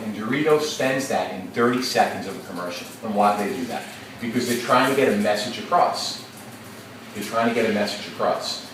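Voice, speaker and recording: A male teacher leading an interactive read-aloud, questioning his class in a lively, engaging way. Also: medium pitch. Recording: echoey classroom, distant mic, noisy.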